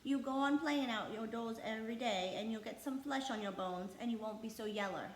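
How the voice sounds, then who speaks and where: Woman acting a brisk housemaid, echoey room. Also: a little high, slight background noise, close to an everyday voice. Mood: brisk, encouraging. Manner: emphatic, folksy dialect. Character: cheerful Yorkshire housemaid, young, brisk and motherly.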